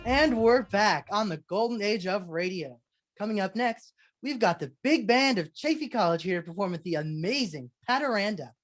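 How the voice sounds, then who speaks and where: Radio co-host, 1940s announcer style; medium-pitched, scripted, presentational. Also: expressive, moderate pace, soft background music.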